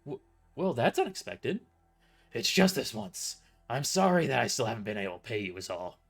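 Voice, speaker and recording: A man's voice: mid-range voice, big pitch swings, normal speed, vocal fry, faint music behind. A man voicing a tough, sardonic mercenary woman who is casual about violence, in an amateur audio drama. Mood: exasperated, surprised. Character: tough, sardonic young tomboy mercenary woman working as waitress.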